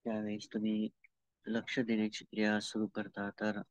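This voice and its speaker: Meditation guide: Indian Marathi man, lowish voice, very flat intonation, unhurried and calm, giving gentle step-by-step instructions. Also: no room sound. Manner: calm, unhurried, with long pauses and repeated instructions. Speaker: meditation teacher. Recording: dull lo-fi audio.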